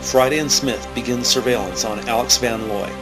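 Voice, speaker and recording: A man reading scripted narration for a police drama in a measured, factual manner. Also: mid-range voice, over music, lightly acted, low-quality recording.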